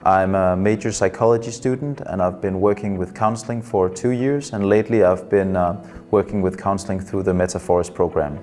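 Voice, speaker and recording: A Danish man in his twenties, a psychology student and counselor, giving thoughtful interview answers in English as a second language, often pausing with fillers. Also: low-pitched, fairly even intonation, a little mellow, faint music behind. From Denmark. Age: 20s.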